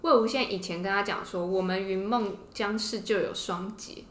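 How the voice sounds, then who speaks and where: A woman's voice: medium pitch, steady tone, moderate pace, fairly bright tone, slight background noise. Woman podcast host in an echoey room. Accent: Taiwanese Mandarin. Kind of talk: casual explanatory storytelling about fiction. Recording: roomy, echoing podcast room.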